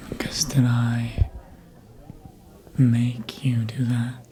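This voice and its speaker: A performer voicing a sly, seductive, manipulative vampire in a breathy ASMR whisper, coaxing slowly and deliberately as if hypnotizing. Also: medium pitch, monotone, warm tone. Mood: hypnotic coaxing.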